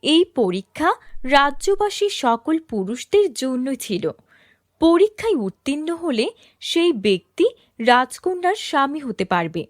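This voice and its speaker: High-pitched, lively woman storyteller. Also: no echo, clean studio sound. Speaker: storyteller narrator. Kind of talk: scripted fairy-tale narration.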